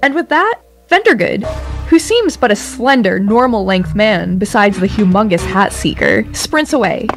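A woman's voice: medium pitch, animated, moderate pace, creaky, over music. A woman narrating a scripted audio drama in a wry storytelling style.

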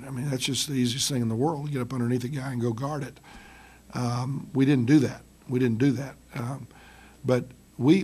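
A middle-aged man, a basketball head coach, answering reporters after a loss, halting with many ums and restarts, speaking into a table microphone. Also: mid-range voice, husky. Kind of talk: post-game press conference. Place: press room with curtain backdrop. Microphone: table mic on stand.

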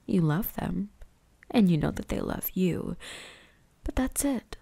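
A woman voicing an ancient, predatory and possessive vampire huntress in an ASMR roleplay, breathy and often whispering, with a teasing, menacing manner. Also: on the low side, lively intonation, slow pace, mellow, no room sound, exaggerated voice. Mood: cool, musing. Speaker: voice actress.

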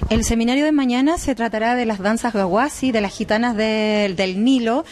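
Female dance teacher, middle-aged, slightly high voice, informal interview. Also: moderate pace, darkish tone. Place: small backstage room. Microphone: handheld microphone held by interviewer.

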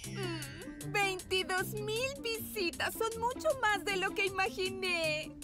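Vain, high-pitched internet diva. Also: female voice, slow pace. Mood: smug. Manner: dramatic, self-important. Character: vain, theatrical young female internet star. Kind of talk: cartoon dub acting. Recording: loud music under the voice.

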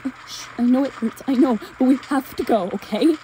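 A woman performs a desperate but tender young heroine in an old-fashioned romantic audio drama. Her voice is fairly high and soft-edged, very mellow, and she speaks haltingly, repeating herself. Ambient sound effects add some noise behind her. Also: natural voice, low-quality recording. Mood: soothing, urgent. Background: forest, stream and dungeon ambience effects.

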